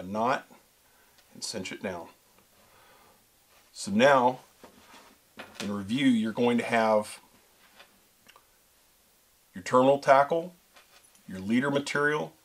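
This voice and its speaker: A middle-aged man giving a step-by-step how-to demonstration as a show co-host, talking straight to viewers. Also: lowish voice. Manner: step-by-step instructive, addresses viewers as folks and guys. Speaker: fishing pro staffer and co-host. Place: small studio set with backdrop.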